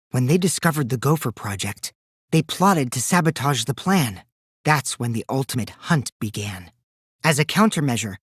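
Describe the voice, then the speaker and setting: A man's voice: medium pitch, breathy edge, dry recording, clean studio sound. Theatrical mischievous teen boy, wildly swooping voice. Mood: grave, measured. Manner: theatrical, swinging from bratty teasing to sinister taunting. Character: mischievous, lying, childish teenage boy, self-styled evil supreme leader. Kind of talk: English video game voice acting.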